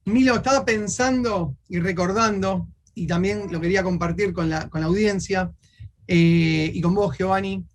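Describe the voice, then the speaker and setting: Male interviewer, Argentine accent, noticeably high voice, conversational delivery. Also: normal speed.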